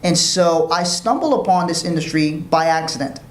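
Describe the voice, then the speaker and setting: A man's voice: on the high side, moderate pace, echoey room. A man from Liberia, a financial professional telling his story earnestly as an interview guest, often restarting his phrases.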